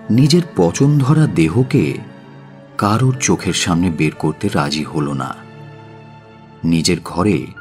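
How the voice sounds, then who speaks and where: A man narrating a dramatic audio story in a measured, solemn and expressive way. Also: low-pitched. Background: faint music.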